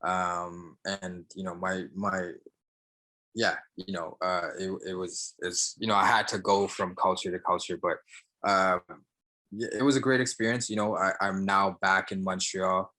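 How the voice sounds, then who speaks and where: A young man with a very low voice and a bright, forceful edge to it. He answers interview questions casually, pausing often with fillers and restarting his sentences. He grew up after leaving Sri Lanka as a child. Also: level tone, poor audio. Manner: halting, many fillers and restarts. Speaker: guest, barber and entrepreneur.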